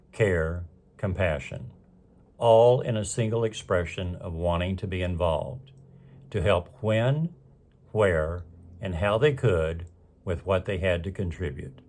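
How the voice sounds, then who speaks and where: Low-voiced man, measured scripted narration. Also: darkish tone. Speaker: presenter, fundraising consultant. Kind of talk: scripted narration, storytelling and advice.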